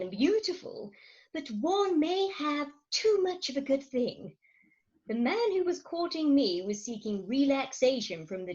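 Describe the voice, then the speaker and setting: Woman playing an animated, imperious wife. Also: sits high. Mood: pleading, insistent. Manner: theatrical, emphatic, grandly articulate. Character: imperious, coaxing Victorian English wife, thirty-six, proud. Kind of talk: comic Victorian stage farce reading. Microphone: remote home recording over video call. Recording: slightly dull online call audio.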